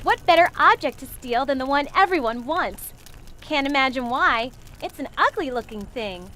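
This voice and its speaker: A woman's voice: high-pitched, animated, normal speed, background noise. An actress playing a brash, mocking young female thief in a game cutscene. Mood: cocky. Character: cocky young female thief, mercenary and scornful.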